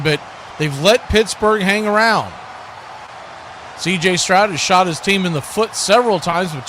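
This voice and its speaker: An American man calling live football play-by-play in an excitable, colloquial radio style, repeating phrases as the action builds. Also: high-pitched. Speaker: play-by-play sports announcer. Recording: faint background noise.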